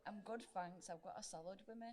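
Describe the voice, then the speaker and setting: A woman playing a sensible, snappy community centre colleague in a radio play, brisk and matter-of-fact. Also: medium pitch, darkish tone, close to an everyday voice. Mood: teasing, snappy. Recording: clear crowd sound behind voice.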